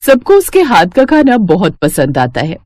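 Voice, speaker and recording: A woman's voice: mid-range voice, wildly swooping pitch, normal speed, a little mellow, no echo, studio quality. A woman narrating a scripted cartoon story.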